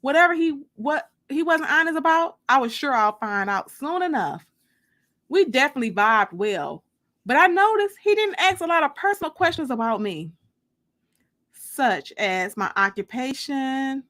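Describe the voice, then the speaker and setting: A woman's voice: high voice, big pitch swings, normal speed, a bit bright. A woman reading her own novel aloud as a dramatic first-person audiobook narration.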